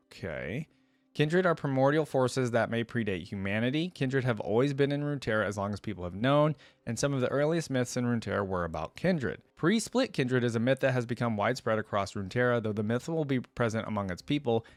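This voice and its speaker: A man's voice: mid-range voice, no room sound. A man hosting a reaction video, reading lore aloud and commenting casually as he goes.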